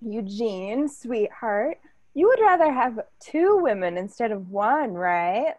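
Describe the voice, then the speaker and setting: A woman acting a brash female ex-con in a comedy read. Her voice sits a little high and is recorded dry. She is a wheedling schemer with a mouth on her. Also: sing-song, takes it slowly, darkish tone, no room sound, exaggerated voice.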